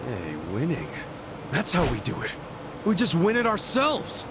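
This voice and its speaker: A man voicing a brash, warm-hearted, impulsive and loyal ex-yakuza in a video game dub. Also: on the high side, huge pitch swings, measured pace, very noisy, full character voice. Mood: eager. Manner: informal, blunt, eager.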